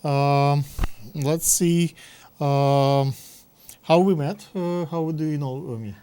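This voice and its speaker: A man hosting a public access TV interview, talking haltingly with many fillers and giving set directions. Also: on the high side, mellow, clean tone.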